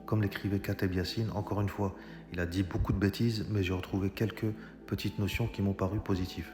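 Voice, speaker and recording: A man's voice: low voice, flat delivery, fairly quick. A man giving an argumentative political monologue, speaking directly to his viewers with frequent hesitations. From Algeria. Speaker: commentator. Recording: echoey room with long reverb. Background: music.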